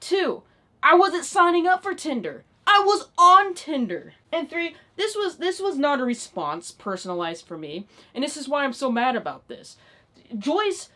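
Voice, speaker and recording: Exasperated young woman, rather high voice. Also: big pitch swings, normal speed, bright, forceful tone. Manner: exasperated, sweary, emphatic. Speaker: online video creator. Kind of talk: ranting vlog, casual unscripted talk.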